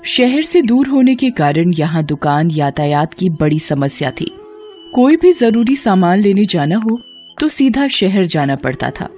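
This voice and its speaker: A woman narrating a cartoon story in an expressive, lilting storytelling manner. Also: medium pitch, unhurried, soft background music.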